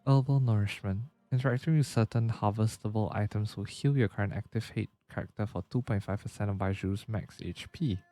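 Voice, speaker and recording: Low, mellow-voiced man streaming a game. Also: steady tone, brisk, few pauses, soft background music. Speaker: livestreamer. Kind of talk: casual gameplay commentary, reading game text aloud.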